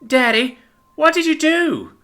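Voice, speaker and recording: A man's voice: very high voice, wildly swooping pitch, moderate pace, bright, forceful tone, pitched above the performer's own voice, exaggerated voice. A man voicing a bewildered, frightened young badger son in a story, pleading plaintively. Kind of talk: dramatic storybook character voice.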